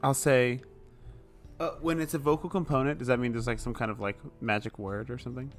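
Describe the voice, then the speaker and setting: A man's voice: mid-range voice, fairly even intonation, brisk. A man playing a tabletop roleplaying game, bantering casually. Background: music.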